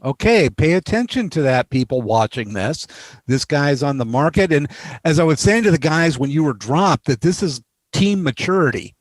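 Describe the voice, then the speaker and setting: A man's voice: fairly high pitch, animated, normal speed, no echo, poor audio. A man hosting a casual video call interview, jokey and encouraging, teasing the guests. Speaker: interviewer host.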